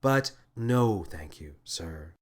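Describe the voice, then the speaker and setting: Man voicing a low, deadpan female ship's officer. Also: slow pace, exaggerated voice. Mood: flat, deadpan. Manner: flat, dry, polite, unruffled. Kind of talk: children's space adventure audiobook.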